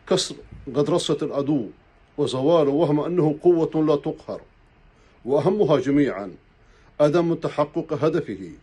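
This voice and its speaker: An older man delivering a Friday sermon in a small room, reciting formal prayers at a slow, measured pace into a lapel mic. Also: medium pitch, dark tone, breathy edge. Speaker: preacher. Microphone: clip-on lapel mic.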